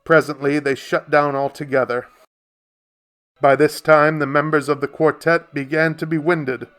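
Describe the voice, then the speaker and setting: A man playing a wry, literate storyteller, narrating a radio play in ornate, deliberate phrases. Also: on the high side, flat delivery, fairly bright tone, natural voice. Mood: wry amusement. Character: wry, literate storyteller recalling old Baltimore nightlife.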